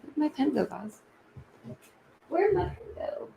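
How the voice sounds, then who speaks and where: A young woman hosts a casual livestream chat, rambling from thought to thought with plenty of fillers and restarts. Her voice carries a gravelly fry. The room sounds echoey, with faint noise underneath. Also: high voice, big pitch swings, a little slow, highs cut off. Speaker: YouTube true crime channel host.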